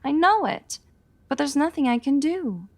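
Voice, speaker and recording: A woman's voice: high-pitched, big pitch swings, normal speed, creaky, exaggerated voice. An actress playing a worried, earnest showgirl in the melodramatic manner of 1940s radio noir.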